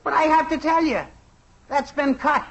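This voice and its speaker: A man's voice: very high-pitched, steady tone, normal speed, creaky. An actor playing an earnest, flustered American teenage boy in 1950s radio sitcom style. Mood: pleading. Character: earnest, flustered, pleading teenage boy amateur play director. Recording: muffled, very noisy vintage radio recording.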